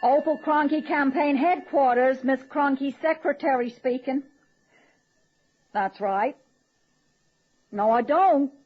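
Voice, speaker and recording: An actress playing a dim, deadpan, folksy female campaign secretary in a radio comedy. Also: sits high, takes it slowly, long pauses. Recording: dull lo-fi old radio recording.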